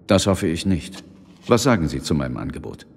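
A man's voice: low voice, sing-song, a little fast, darkish tone, slightly rough, long reverb tail, exaggerated voice. A man acting a determined police inspector, insolent but loyal, in a German radio drama. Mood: earnest, pleading.

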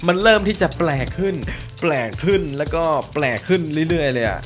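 A young adult man giving casual, excitable reaction commentary on an animation, exclaiming often and speaking in slang. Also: a little high, a little fast, soft background music.

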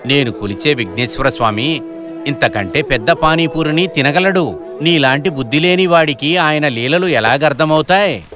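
A man's voice: fairly high pitch, moderate pace, close to an everyday voice. A man voicing a humble, devout and poor street-food vendor, an earnest father in a cartoon moral story. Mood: indignant. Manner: earnest, pleading. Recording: loud music behind the voice.

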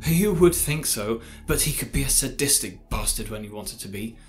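A man playing a formal, guarded college teacher in an audio drama, choosing measured words. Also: mid-range voice, animated, lightly acted. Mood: defensive. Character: male college science teacher, defensive, formal, secretive adulterer. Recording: music behind the voice.

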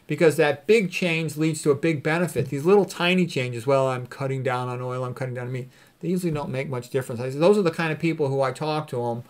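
A man's voice: a little high, steady tone, fast. A middle-aged man, a physician, gives an informal lecture in a blunt, emphatic, repetitive way, often checking in with a short tag question. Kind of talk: informal lecture with commentary on quotes.

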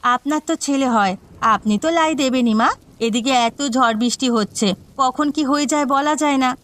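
A woman's voice: sits high, fairly quick, fairly bright tone. A woman voicing a nagging, thrifty village housewife in a cartoon, with music behind her. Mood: scolding. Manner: scolding. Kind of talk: cartoon dialogue acting. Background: background music.

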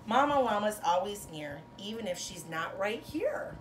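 A woman in her thirties, a teacher reading a children's storybook aloud to pupils with expressive, sing-song phrasing and emphasized words. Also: medium pitch, normal speed, background noise. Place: bedroom, indoors. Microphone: camera a couple of metres away.

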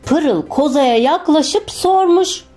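Slow, high-voiced woman reading children's stories. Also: lively intonation, warm tone. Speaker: children's book narrator. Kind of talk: expressive scripted storytelling for children. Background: music.

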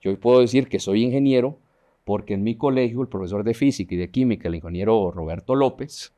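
Middle-aged man from Honduras, guest engineer, warm earnest studio voice. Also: fairly low pitch, fast. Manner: earnest, animated, gesturing while talking. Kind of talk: studio TV interview. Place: dark TV studio. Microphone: lapel mic.

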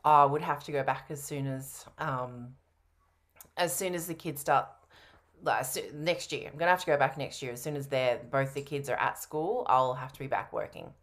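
A woman's voice: a bass voice, normal speed, fairly bright tone. A woman in her thirties on a video call, answering a coach's questions in a casual, hesitant way. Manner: hesitant, informal. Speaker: coaching client in a roleplay. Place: indoor room at home, on a video call.

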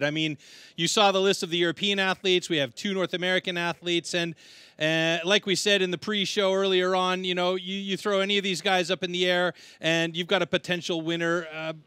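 A man's voice: high-pitched, sharp tone, clean tone, no echo. A man giving conversational live sports commentary, talking at length in an emphatic manner.

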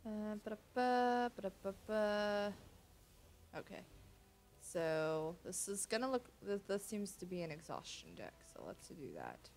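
A woman's voice: mid-range voice, big pitch swings, unhurried, darkish tone. A young American woman with a cold, casually commentating as she plays a card game at her computer, often muttering to herself. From United States. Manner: laid-back, muttering to herself while playing. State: stuffy with a cold, sniffly. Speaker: gaming channel host. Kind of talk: casual let's-play commentary. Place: home room, seated at computer.

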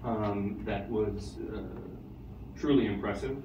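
A man talking haltingly in an informal meeting, with many pauses, recorded from across the room. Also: fairly low pitch, steady tone. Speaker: board meeting participant giving an assessment. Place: meeting room with long table. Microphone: far camera at back of room. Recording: distant, muffled, echoey, noisy.

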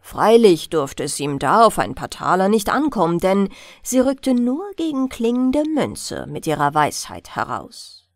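A woman narrating a fairy tale as a bedtime audiobook, in a deliberate, expressive storytelling manner. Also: medium pitch, no echo, clean studio sound.

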